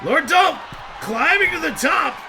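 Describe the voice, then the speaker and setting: A man calling wrestling matches play by play in a jokey, rambling style, breaking into shouted calls of the action. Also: very high voice, animated, measured pace, vocal fry, a crowd in the background, noisy background. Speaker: play-by-play commentator. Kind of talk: comedic wrestling commentary.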